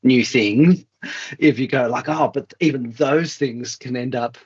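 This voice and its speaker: A man's voice: mid-range voice, unhurried, darkish tone, slightly rough, dull audio. A man hosting a thoughtful conversational interview, musing tentatively and feeling his way through his phrases. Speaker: interviewer, podcast host.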